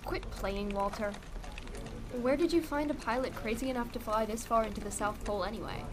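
A woman's voice: on the high side, music in the background, lightly acted. An actress playing a teasing, knowing female broadcaster who knows the hero. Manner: teasing, quick. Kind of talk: audio drama acting.

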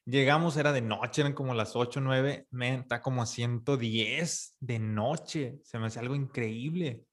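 A man hosting a podcast, friendly and quick to laugh in casual chat. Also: mid-range voice. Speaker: podcast host.

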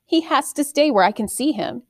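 A woman's voice: high voice, lively intonation, normal speed, dry recording, clean studio sound, pitched above the performer's own voice. A woman voicing an earnest, protective ten-year-old girl in an audiobook, insistent in manner.

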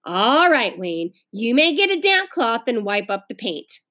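A firm storybook schoolteacher as a woman reader puts her on. She addresses children instructively in a highish, expressive voice. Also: unhurried, heavily acted. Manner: instructive, raised voice.

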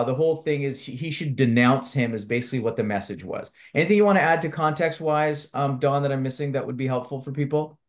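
A man facilitating a workshop in an informal, hesitant, halting explanatory way. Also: mid-range voice. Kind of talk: informal webinar presenting.

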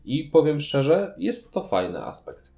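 A man's voice: fairly high pitch, huge pitch swings, a little slow, vocal fry, dark tone, soft background music. A man talking as a podcast host, reviewing board games conversationally in long, explanatory sentences.

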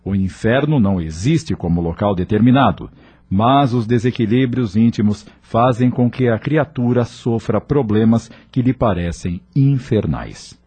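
A man with a Brazilian accent announcing a radio drama in a measured, formal, scripted way. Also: lowish voice, dark tone, slightly rough, dry recording. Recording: music under the voice.

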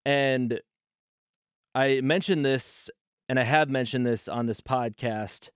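A man's voice: medium pitch, slow, clear, resonant tone, no echo, studio quality. A man in his thirties hosting a casual solo podcast from his bedroom, talking loosely and often correcting himself. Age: 30s. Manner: loose, rambling, self-correcting. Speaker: podcast host.

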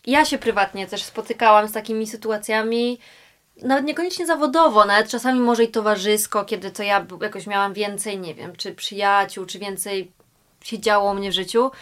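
A woman interviewer asking thoughtful, winding questions in a relaxed conversation. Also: medium pitch, normal speed.

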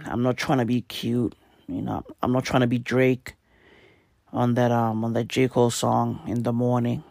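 A young man with a hoarse, raspy voice that crackles into fry. He rambles in a laid-back, profane way, heavy on the fillers, and the sound is dry and close with no room around it. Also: mid-range voice, little pitch movement, moderate pace, a little mellow, dry recording. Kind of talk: casual rambling monologue.

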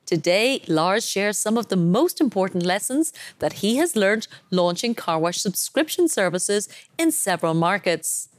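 A woman's voice: medium pitch, lively intonation, measured pace, hardly any pauses, dry recording. A woman hosting a podcast, reading a polished, enthusiastic intro and then interviewing her guest. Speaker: podcast host.

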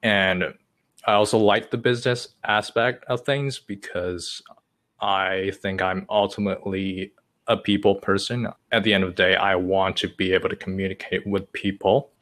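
Young guy from china, low voice, lots of uhs, rambles on, casual chat. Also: little pitch movement, moderate pace, fairly bright tone. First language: Chinese. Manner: hesitant, many uh fillers, long-winded. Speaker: podcast guest.

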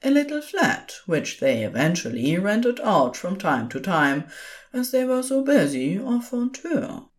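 A woman narrating scripted audio fiction as a storyteller, with an expressive, measured delivery. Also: medium pitch.

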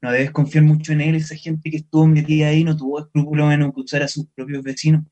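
A man's voice: fairly high pitch, level tone, fast talker, dark tone, lo-fi sound, soft background music, close to an everyday voice. A man acting a wary, somewhat insecure Chilean boyfriend in a naturalistic radio drama, talking in a colloquial, conversational way. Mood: distrustful, warning. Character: wary, distrustful local boyfriend named Manuel, insecure in love.

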